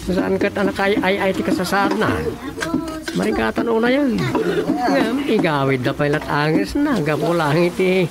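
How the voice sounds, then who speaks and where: A man's voice: sits high, animated, moderate pace, gravelly, heavy background noise. An actor playing an earnest, questioning village man in a Filipino radio drama comedy, conversational, with a northern Philippine accent. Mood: concerned. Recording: loud music under the dialogue.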